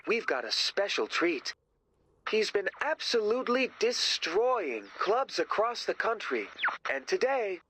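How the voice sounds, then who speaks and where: A man's voice: high-pitched, a bit bright, slight background noise, exaggerated voice. A man playing a cocky, self-promoting rock drummer in a video game, presenting like a grandiose TV host with dramatic emphasis. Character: cocky, self-promoting male rock drummer hosting his own show.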